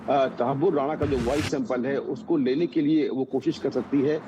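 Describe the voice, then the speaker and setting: A man working as a news correspondent, giving unscripted analysis in a halting, repetitive way as he searches for words. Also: medium pitch, steady tone, muffled recording, noisy background.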